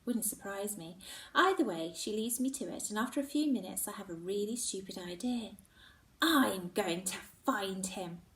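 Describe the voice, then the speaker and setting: A woman reading a children's novel aloud in first person, expressive and dropping into hushed whispers. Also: medium pitch, normal speed, distant mic. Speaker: children's book reader. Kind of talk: first-person storybook narration. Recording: roomy echo, set back from mic.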